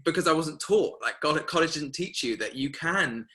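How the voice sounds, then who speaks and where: Bright-voiced young man telling a rambling story. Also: fairly high pitch, normal speed. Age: young adult. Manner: rambling, filler-heavy, animated retelling. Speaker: masterclass host. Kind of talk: casual storytelling to an online class.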